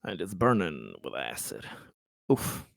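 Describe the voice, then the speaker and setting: Male tabletop player, animated mid-range voice, casual and reactive, repeats himself; close, dry sound. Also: low-pitched, unhurried, creaky, no echo. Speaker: player in a tabletop roleplaying game. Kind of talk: casual game chat.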